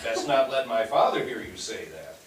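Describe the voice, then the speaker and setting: An actor in a staged reading playing a patient 1860s husband with a measured, dryly teasing manner. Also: male voice, mid-range voice, sing-song, close to an everyday voice. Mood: wry. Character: dry, patient husband of 1860s society wife. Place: theatre stage, recorded from a distance. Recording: very echoey stage, distant mic, faint noise.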